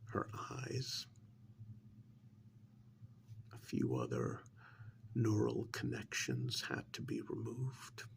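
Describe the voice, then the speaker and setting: A man playing a sly, insinuating interrogator in an ASMR role-play, speaking in a slow, deliberate, soft whisper with mock admiration and dramatic pauses. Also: fairly low pitch, big pitch swings, slight background noise, exaggerated voice. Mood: quiet insinuation. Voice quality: breathy whisper with gravelly creak. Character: sly, insinuating male interrogator needling a mad scientist.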